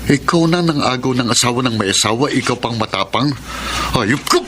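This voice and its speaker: A man's voice: mid-range voice, expressive, a little fast, natural voice. An actor playing a troubled estranged husband who longs for his wife, in earnest, emotive Filipino radio drama style. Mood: confrontational, angry. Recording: very noisy radio drama recording.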